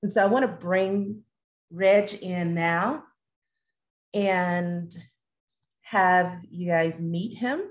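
A woman's voice: lowish voice, extremely slow, long pauses. A middle-aged woman hosting a talkback interview on a video call from a room at home. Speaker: host, theatre artistic director.

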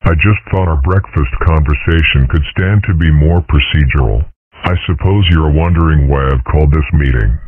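A man's voice: a bass voice, normal speed, slightly rough, no room sound. A man voicing a pompous, eccentric dragon father in a comic strip dub, speaking with procedural formality. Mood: ceremonious. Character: pompous, eccentric dragon father, self-styled head of state. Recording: muffled narrow radio-like sound.

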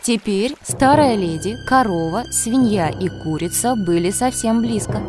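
A woman's voice: mid-range voice, lively intonation, measured pace, over music. A woman giving scripted narration of a children's fairy tale.

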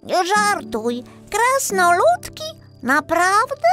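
Polite, curious small girl acted by a woman, childlike and high, bedtime storybook. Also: big pitch swings, takes it slowly, sharp tone, heavily acted. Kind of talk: children's audiobook acting. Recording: music behind the voice.

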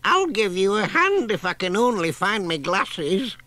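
A man's voice: high-pitched, very animated, a little slow, sharp tone, no room sound, voice pushed up, exaggerated voice. A man voicing a homely, plain-speaking old granny in a children's storybook. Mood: fussing, homely.

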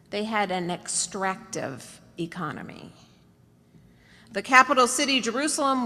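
A middle-aged American woman delivering a sermon from notes in a measured, emphatic storytelling way. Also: medium pitch, pushed and bright. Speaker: preacher. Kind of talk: sermon read from notes to a congregation. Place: church meeting hall, indoors. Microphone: podium gooseneck microphone. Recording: reverberant hall, podium microphone.

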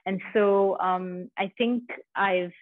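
A woman's voice: mid-range voice, fairly even intonation, slow, no room sound. Woman on a tinny remote line. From India. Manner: thoughtful, self-deprecating, repeats words. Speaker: poet and guest. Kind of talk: reflective interview conversation. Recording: dry, tinny phone-like line.

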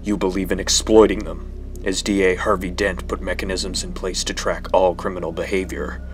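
An actor playing a stern, guarded masked vigilante in an audio drama, speaking in a terse, grave, restrained way. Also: male voice, sits low, flat delivery, normal speed, faint music behind, lightly acted.

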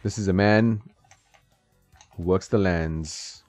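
A man's voice: deep voice, slow pace, darkish tone, dry recording. A man in his thirties giving casual, joking commentary while he plays a game at home, laughing often and talking to the screen. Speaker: gameplay streamer. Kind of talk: casual let's-play commentary. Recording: slightly dull, dry sound with game music.

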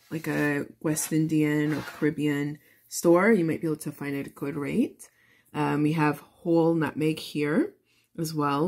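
Low-voiced woman hosting a cooking demo. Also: darkish tone. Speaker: host of a home cooking show. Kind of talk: casual cooking demonstration.

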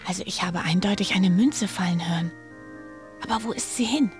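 A woman's voice: on the low side, brisk, music in the background, lightly acted. A woman playing a young girl detective in an adventure game. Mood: curious. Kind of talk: scripted adventure game dialogue.

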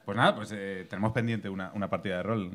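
A man in his thirties hosting a casual podcast interview, relaxed and agreeable, often filling in with short agreements. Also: sits low, quick pace. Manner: relaxed, fills with "claro" and "sí". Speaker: podcast host. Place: small studio bar set, indoors.

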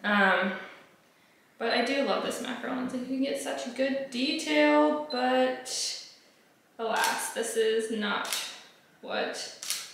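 A woman's voice: sits high, slow, long pauses, reverberant, background noise. A young woman, a food photographer, talking casually and candidly to the camera at home, with lots of ums and likes. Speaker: food photographer and content creator. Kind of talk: casual vlog talk to camera. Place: home room, indoors.

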